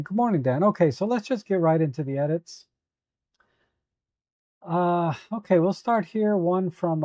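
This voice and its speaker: A hoarse man hosting a live photo editing tutorial, talking at an easy, halting pace with many fillers while answering viewers. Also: fairly high pitch, mellow, no room sound, lo-fi sound. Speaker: photo editing livestream host.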